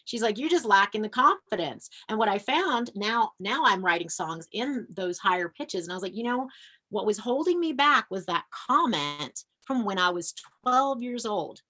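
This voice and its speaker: A woman musician talking earnestly in a relaxed conversation, reflective, with frequent fillers and restarts. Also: mid-range voice, normal speed. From American. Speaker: folk punk musician and music educator. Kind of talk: casual conversation.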